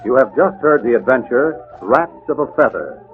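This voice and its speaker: A man's voice: mid-range voice, expressive, moderate pace. A man making a formal scripted sign-off as a 1940s radio drama announcer. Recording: tinny old radio broadcast, music behind. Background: music.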